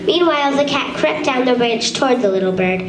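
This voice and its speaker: A woman's voice: high-pitched, expressive, fairly quick, crowd noise. A woman reading a children's story aloud as narrator of a puppet show, sometimes stumbling over the words. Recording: echoey room, far from mic, noisy.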